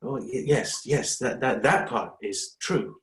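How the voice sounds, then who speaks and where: A middle-aged man playing a weary, exasperated doctor in a dramatic reading, with halting, stammering delivery. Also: medium pitch, a bit bright, lightly acted. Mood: flustered, awkward. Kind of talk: dramatic fan fiction reading.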